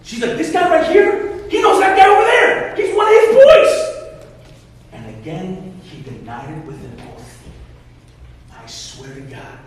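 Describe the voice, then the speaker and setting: A man in his forties preaches with a high, lively voice that leaps around as he acts out each person in the story. He sounds distant from the mic, his words ringing through a large, reverberant hall with a faint hiss underneath. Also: a little slow. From Michigan. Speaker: pastor. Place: church hall. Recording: echoey hall, voice from far off, faint noise.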